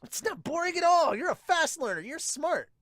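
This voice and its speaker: A man's voice: very high voice, big pitch swings, moderate pace, fairly bright tone, no echo, clean studio sound, voice pushed up, heavily acted. A man voicing an earnest, encouraging young boy who loves volleyball, in a manga dub. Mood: enthusiastic.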